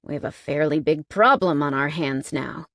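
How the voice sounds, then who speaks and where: Stern, controlled biker man, low and threatening, as a woman reads him. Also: moderate pace, fairly bright tone, no echo, clean studio sound. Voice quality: low, hissing.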